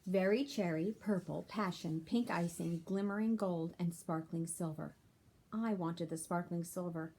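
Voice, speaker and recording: A woman's voice: on the low side, a little slow, mellow, rough recording. A woman reading a children's chapter book aloud like a teacher, with simple explanatory asides for young listeners.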